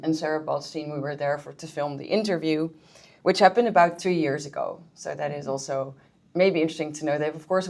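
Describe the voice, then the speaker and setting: Dutch woman historian, low voice, chatty library talk, lots of ums. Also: a little mellow. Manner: friendly, chatty, with filler ums and restarts. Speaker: historian, guest speaker. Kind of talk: public history talk with slides. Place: public library meeting room.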